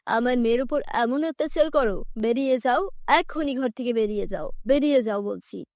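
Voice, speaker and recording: A woman's voice: a little high, moderate pace, darkish tone, no echo, studio quality, close to an everyday voice. A woman voicing a protective father in a children's cartoon story. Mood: angry, scolding. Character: protective father, indignant at his cruel second wife.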